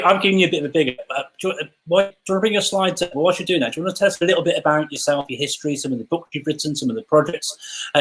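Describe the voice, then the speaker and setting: Male host, quick, eager, slightly high voice, video call. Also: poor audio. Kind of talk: online panel talk.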